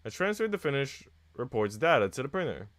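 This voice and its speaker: A man's voice: medium pitch, sing-song, a little fast, fairly bright tone. A man on a live stream, reading visual novel text aloud and chatting casually with viewers. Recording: noisy mic with typing sounds.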